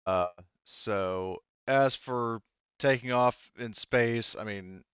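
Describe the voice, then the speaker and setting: A man running a tabletop roleplaying game as game master, talking casually and haltingly, with informal wording and some swearing. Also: mid-range voice, fairly bright tone, smooth, no room sound. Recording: dull, lo-fi audio.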